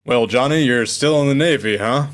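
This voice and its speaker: A man's voice: mid-range voice, moderate pace, natural voice. A man playing a proud, jovial old small-town father with a hearty, folksy manner in a sci-fi radio play.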